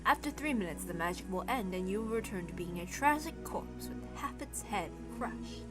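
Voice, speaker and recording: A woman voicing a polite male demon butler in a visual novel reading, speaking formally and with cool, measured mockery. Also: medium pitch, expressive, pitched below the performer's own voice, natural voice. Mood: composed, condescending. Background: music.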